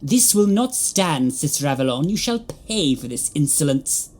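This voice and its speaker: A woman's voice: low voice, very animated, moderate pace, a little mellow. A woman playing a stern, hostile cat nun in an audio drama, haughty and commanding. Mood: imperious, threatening.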